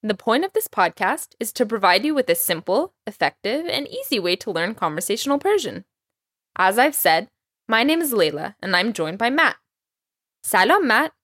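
A young woman raised in Texas, speaking with a Texan American accent, hosting a podcast language lesson with clear, careful pronunciation. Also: on the high side, very animated, moderate pace, fairly bright tone, no echo, studio quality. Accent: American English, Texas. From Iran. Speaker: language teacher, podcast host.

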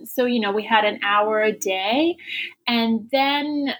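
A woman's voice: fairly high pitch, slow pace, rough recording. A woman born in Iran with an American accent, a cookbook author chatting as an interview guest and laughing often. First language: Persian. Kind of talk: casual interview.

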